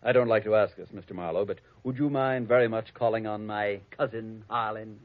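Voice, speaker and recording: An actor playing a prim, snobbish, scheming heir who speaks precisely and sarcastically, in 1940s radio acting on a dull lo-fi old radio recording. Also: male voice, lowish voice, fairly even intonation, brisk, no room sound, natural voice. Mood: haughty.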